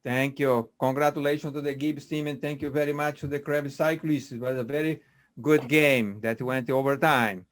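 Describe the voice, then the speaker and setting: A man moderating an online biochemistry quiz on a video call, speaking in a measured way with frequent fillers. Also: medium pitch, steady tone.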